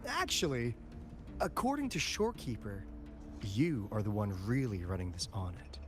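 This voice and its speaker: A man's voice: lowish voice, big pitch swings, normal speed. A breezy male video game character, wry and teasing as he talks shop. His delivery swings up and down with lots of expression, and music plays underneath. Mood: wry, candid. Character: breezy, wry adult male academy insider briefing an auditor.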